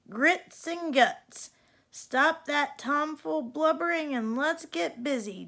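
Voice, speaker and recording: A woman's voice: high voice, bright, forceful tone, pitched above the performer's own voice, heavily acted. A woman voicing a sassy, bossy little old fairy godmother with folksy Wild West talk, in a storybook read-aloud.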